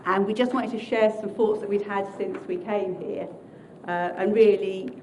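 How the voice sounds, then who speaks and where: A woman who is a Salvation Army minister, giving a friendly presentation to a council meeting from prepared notes in her loud voice. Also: mid-range voice, fairly even intonation, moderate pace, a little hiss or hum.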